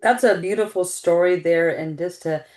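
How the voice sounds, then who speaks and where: Woman interview host, lowish register, echoey room sound. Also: normal speed, a little mellow. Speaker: show host. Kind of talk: hosting an interview. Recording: roomy, echoing sound.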